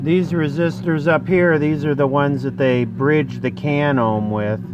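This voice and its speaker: A man's voice: fairly high pitch, steady tone, a little slow, lo-fi sound, noisy background. Man talking through a repair at his bench. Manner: thinks aloud while explaining technical details. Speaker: radio repairer. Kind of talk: casual workbench repair narration.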